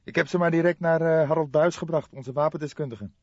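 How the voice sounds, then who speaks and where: Guy doing a young cop sidekick in an audiobook, pitched up, brisk and casual, slightly dull sound. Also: a little mellow, no room sound. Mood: nonchalant reporting. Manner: brisk, matter-of-fact. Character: nonchalant, eager younger male police detective sidekick.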